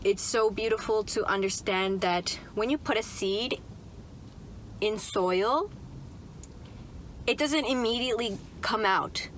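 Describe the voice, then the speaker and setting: A woman's voice: medium pitch, slow pace, pauses often, a bit bright, a little hiss or hum, rough recording. Chatty young woman talking in a car. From Canada. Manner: chatty, upbeat, full of "like" and "right?". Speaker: vlogger. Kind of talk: casual chat to camera. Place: inside a parked car.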